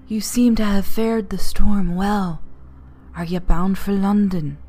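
A woman voicing a ghostly sailor in a storybook tale, with a hollow, rasping, moaning half-whisper and archaic seafaring speech. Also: medium pitch, steady tone, takes it slowly, mellow, soft background music, voice pushed up. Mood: eerie, beckoning. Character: hollow-voiced ghostly sailor pleading from a phantom ship.

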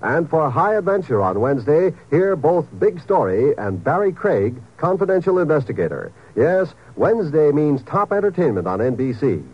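An old radio recording with a dull sound. A male announcer, slightly high and husky, pitches programs in a polished, very lively 1950s style. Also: moderate pace, hardly any pauses. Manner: polished salesmanlike delivery. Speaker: network announcer.

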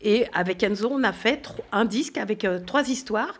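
A middle-aged woman, a voice actress and guest, telling anecdotes in casual chat, lively and self-mocking, laughing often. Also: mid-range voice, normal speed.

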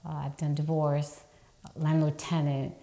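A woman's voice: low-pitched, completely flat delivery, unhurried, mellow. A woman in her fifties from the Dominican Republic who grew up in New York, a real estate attorney telling her story in a podcast interview with many ums. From Dominican Republic, raised in New York. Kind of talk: casual interview talk.

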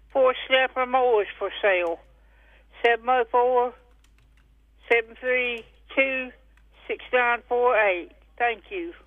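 Really high pitched guy calling a radio show, slow, muffled phone sound. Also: dry recording. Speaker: caller to radio swap show. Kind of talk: plain listing of items and phone numbers.

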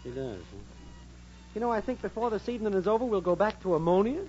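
An actor playing a shifty, bumbling boyfriend, slangy and stammering, in 1940s radio comedy style. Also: male voice, sits high, big pitch swings, fast, over music. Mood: wry. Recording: muffled, noisy old radio recording.

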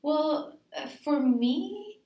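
Higher-voiced woman in echoey room. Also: expressive, extremely slow, mellow, slight background noise. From Greece. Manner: rambling, filler-heavy, thinks aloud. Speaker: dancer, interview guest. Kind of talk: casual interview conversation.

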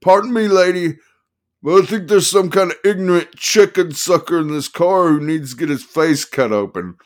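A man shouting as a manic, volatile lawyer. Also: sits high, moderate pace, voice pushed up, lightly acted.

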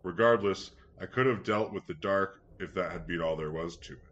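A man reading a scripted first-person story in a measured, earnest manner. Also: sits low, a bit bright. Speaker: story reader. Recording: dull lo-fi sound, clear background noise.

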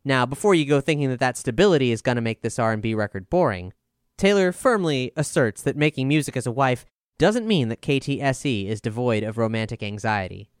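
A man with a smooth voice narrating a scripted music review as a voice-over. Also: mid-range voice, lively intonation, fairly quick, dry recording, clean studio sound.

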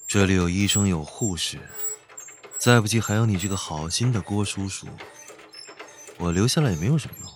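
A man's voice: sits low, brisk, a little hiss or hum, natural voice. A man playing a cool, aloof wealthy man in a modern audio drama, speaking curtly and dryly. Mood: indifferent. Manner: curt, dry, unbothered.

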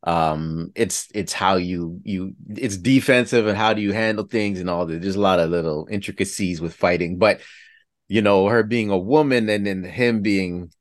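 A man's voice: deep voice, normal speed, dry recording, clean studio sound. A man in his forties hosting an interview, laughing often and asking long rambling questions. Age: 40s. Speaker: host.

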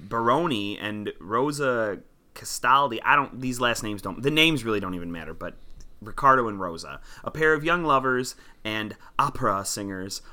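A man's voice: mid-range voice, bright, forceful tone. A man co-hosting a film podcast, chatting casually and playfully. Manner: playful, breaks into goofy old-timey voices. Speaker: podcast co-host.

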